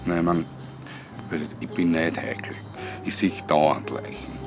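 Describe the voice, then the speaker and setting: An actor playing a laconic, casual co-worker with a secret in a radio drama, speaking slangy Austrian-accented dialogue. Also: male voice, very deep voice, measured pace, music in the background. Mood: uneasy. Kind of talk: naturalistic radio drama acting.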